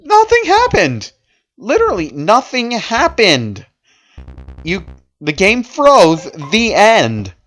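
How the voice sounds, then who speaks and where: A man's voice: sits high, huge pitch swings, takes it slowly, a bit bright. A man narrating a story aloud in an emphatic, theatrical way, occasionally tripping over a word. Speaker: narrator reading horror fan fiction aloud. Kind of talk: dramatic story reading with amused asides.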